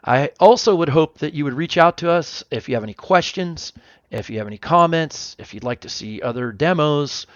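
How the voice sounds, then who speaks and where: A man narrating an informal software demo as presenter, explaining things step by step. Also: on the high side, lively intonation, moderate pace, no echo, highs cut off. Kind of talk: informal product walkthrough narration.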